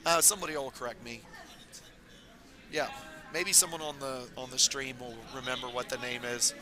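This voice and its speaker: Raspy-voiced man doing curling commentary. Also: mid-range voice, moderate pace, a crowd in the background. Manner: relaxed, rambling, many fillers. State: hoarse from talking all weekend. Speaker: curling club stream commentator. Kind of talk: casual live sports commentary. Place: curling club.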